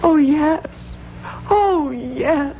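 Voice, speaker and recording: An actress playing a tender-hearted, fearless young daughter in an old radio drama, speaking earnestly and pleadingly. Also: female voice, sits high, drawn-out words, exaggerated voice. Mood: pitying. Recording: tinny, noisy old radio recording.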